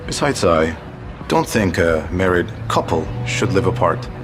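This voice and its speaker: A powerful, aloof billionaire in an audio romance drama. His voice sits on the low side, and he speaks in curt, commanding phrases. Music runs clearly underneath. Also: animated, measured pace. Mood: calm, firm.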